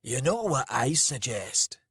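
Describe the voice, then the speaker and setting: A man's voice: mid-range voice, sing-song, slow, fairly bright tone, slightly rough, dry recording, clean studio sound, voice pushed up, full character voice. A man voicing a big, fierce male brawler in a story, blunt, sweary and goading. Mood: eager, goading.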